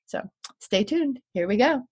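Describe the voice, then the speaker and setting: A woman introducing a podcast episode in a calm, encouraging way. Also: on the high side, unhurried. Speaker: podcast host. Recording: roomy and distant over music.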